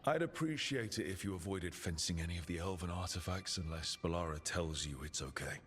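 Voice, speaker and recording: A man's voice: low-pitched, animated, a little fast, a bit bright. A man playing an easygoing, inquisitive adventurer in a video game, conversational and dryly playful. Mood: cautioning. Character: easygoing, curious male adventurer from an assassin guild. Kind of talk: video game dialogue acting. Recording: music under the dialogue. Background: game music.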